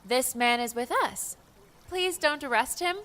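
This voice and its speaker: A woman's voice: high voice, lively intonation, a little slow, a bit bright. A woman playing a sarcastic, feisty young female adventurer with a blunt, informal manner in an audiobook. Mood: nervous, insistent. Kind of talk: audiobook character dialogue.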